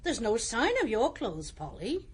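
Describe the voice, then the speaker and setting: Husky, animated innkeeper. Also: female voice, fairly high pitch, a little slow, full character voice. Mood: indignant, shaken. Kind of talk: radio drama acting.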